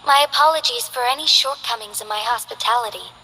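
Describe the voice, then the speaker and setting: A woman voicing a formal, earnest young female city magistrate in game character voice lines, speaking politely and with measured care. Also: fairly high pitch, sharp tone, soft background music, rough recording. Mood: gracious.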